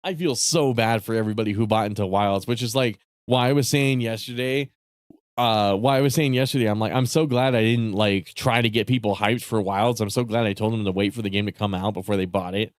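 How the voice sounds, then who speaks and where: A man chatting casually with viewers on a live stream, rambling and swearing with lots of fillers, his voice starting to go raspy. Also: fairly low pitch, a little fast, dry recording, clean studio sound. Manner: rambling, profane, many fillers and restarts. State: voice getting raspy.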